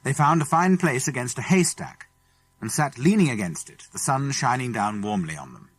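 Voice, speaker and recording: A man's voice: mid-range voice, animated, moderate pace. A man with a British accent reading a children's story as an audiobook narrator.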